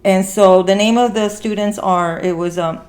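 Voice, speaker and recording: A woman's voice: mid-range voice, fairly even intonation, normal speed, darkish tone. A woman hosting a podcast, chatting casually and earnestly, with an encouraging way of repeating phrases for emphasis. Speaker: podcast host. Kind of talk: casual podcast conversation about a film.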